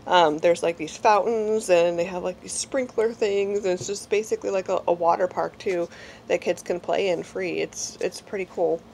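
A woman's voice: lowish voice, steady tone, moderate pace, vocal fry, a little mellow, a little hiss or hum, poor audio. A woman in her forties with a congested, slightly nasal voice from a cold, chatting casually and rambling through a beauty product review at home. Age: 40s. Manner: chatty, rambling, lots of fillers. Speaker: beauty vlogger. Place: small indoor room at home.

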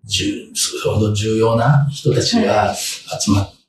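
A man in his sixties explaining as a guest expert in an informal interview, in halting fragments full of fillers. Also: fairly low pitch, sing-song, creaky, noisy background. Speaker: guest expert on samurai history. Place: small indoor room. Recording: roomy, distant mic, background guitar music. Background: guitar music.

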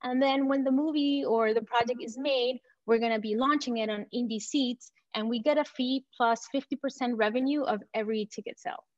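A confident woman from Venezuela pitches her company with promotional enthusiasm. Her voice sits a little high and reaches the listener dry and slightly dulled, like audio from a computer on a video call. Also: fairly even intonation, fairly quick, hardly any pauses, no echo, muffled recording. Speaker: company founder pitching to investors. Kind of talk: startup pitch presentation.

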